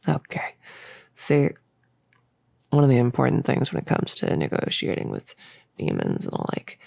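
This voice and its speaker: A performer voicing a bossy yet gentle cultist experimenter in a roleplay audio drama, with a creaky voice and a coaxing, hesitant, rambling manner. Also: medium pitch, wildly swooping pitch, normal speed, dry recording, full character voice. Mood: firm, smug.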